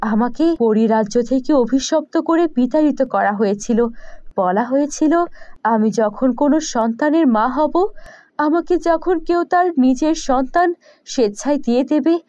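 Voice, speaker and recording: A gentle fairy played by a woman speaks briskly and gratefully in a high voice. It is a fairy-tale cartoon role, recorded close and dry. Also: no echo, highs cut off. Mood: relieved, grateful.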